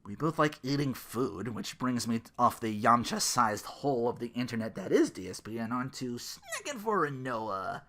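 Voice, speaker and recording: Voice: medium pitch, big pitch swings, moderate pace, few pauses, a bit bright. A podcast host in their thirties on a casual comedic rant, sarcastic and profane, sometimes slipping into mocking quotes. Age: 30s.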